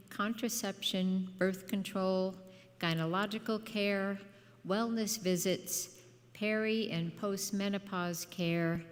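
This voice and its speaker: A woman leading a worship service, reading a formal announcement in measured phrases. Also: mid-range voice. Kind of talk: formal church announcement, read out. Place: church sanctuary. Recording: hall reverb.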